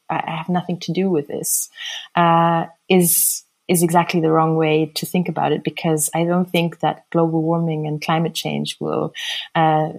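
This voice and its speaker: A middle-aged woman with a mixed German and American accent, giving thoughtful, hesitant interview answers with many pauses. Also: on the low side, a little mellow. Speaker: interview guest.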